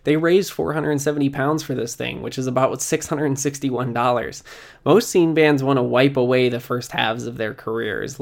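A man hosting a music history series, narrating a scripted video essay in informal, conversational phrasing. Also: medium pitch.

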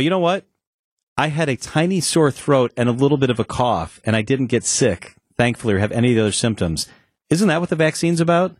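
A man's voice: medium pitch, no echo, studio quality. A middle-aged man hosting an afternoon radio talk show, telling a personal story in a candid, conversational way. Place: radio studio. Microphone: studio microphone.

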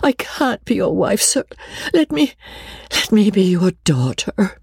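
A woman's voice: a little high, lively intonation, moderate pace, dark tone, husky, no room sound, studio quality, voice pushed up. A woman voicing a meek young governess in an audiobook, tearfully grateful, with a polite, pleading, theatrical manner.

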